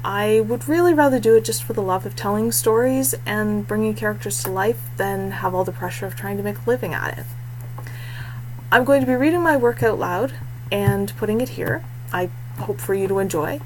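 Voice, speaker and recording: A woman's voice: medium pitch, moderate pace, a little hiss or hum, rough recording. A woman author introducing her reading channel in a casual, self-deprecating, chatty way. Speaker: indie fantasy author.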